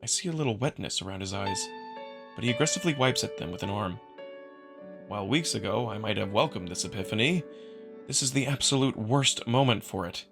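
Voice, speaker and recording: A man's voice: lowish voice, animated, fairly quick, gravelly, over music. A man reading dramatic scripted first-person narration as the narrator of a visual novel.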